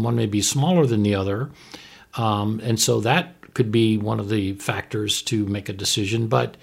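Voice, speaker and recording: Male doctor co-host, husky with noticeable fry, conversational explainer who uses plain terms and prompting questions. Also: on the low side. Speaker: doctor explaining a TV medical drama as podcast co-host.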